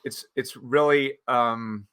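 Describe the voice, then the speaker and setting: A male host with a bright, forceful voice, rambling through his introduction with plenty of fillers. He talks at a middle pitch. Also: steady tone, slow pace, clear, resonant tone. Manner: rambling, many fillers and restarts. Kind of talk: conversational interview.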